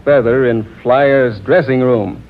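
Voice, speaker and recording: An actor playing a shrewd, unflappable police investigator in a vintage radio drama, measured, sardonic and probing. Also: male voice, mid-range voice, natural voice. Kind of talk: 1950s radio drama acting. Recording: tinny, noisy vintage radio recording.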